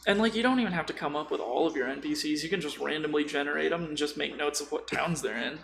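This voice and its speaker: A man's voice: on the high side, fast talker, gravelly, a bit bright, a little hiss or hum. A man chatting casually about tabletop role-playing in an informal, rambling way full of tangents.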